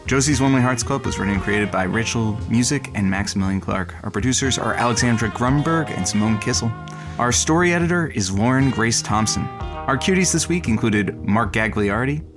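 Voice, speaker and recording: An actor playing a genial, wry radio producer and announcer, with playful deadpan asides. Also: male voice, mid-range voice, close to an everyday voice. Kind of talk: radio announcing and audio drama banter. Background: music.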